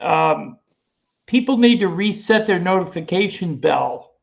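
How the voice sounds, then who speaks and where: A man with a high voice for a guy, a guest streamer explaining things in a plain, practical way. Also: lively intonation, a little slow, gravelly. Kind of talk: casual chat. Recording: dull lo-fi call audio.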